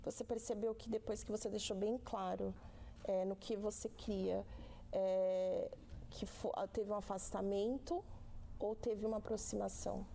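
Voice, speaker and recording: A woman's voice: medium pitch, steady tone, measured pace, vocal fry, mellow, slight background noise. A Brazilian woman hosting a youth podcast, asking questions in a casual conversational style. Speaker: host. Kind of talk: casual interviewing.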